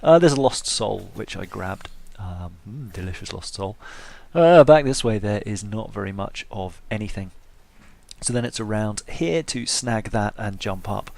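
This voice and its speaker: Gravelly, wry man doing game commentary. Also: lowish voice, normal speed, a little mellow. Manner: wry, self-deprecating humour. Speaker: gaming video host. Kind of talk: casual playthrough commentary.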